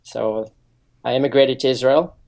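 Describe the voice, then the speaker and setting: A man's voice: mid-range voice, fairly even intonation, normal speed, creaky, a little mellow. A man in his forties, a security consultant, earnestly explaining his background in a radio interview with frequent ums. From United States. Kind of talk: interview answers.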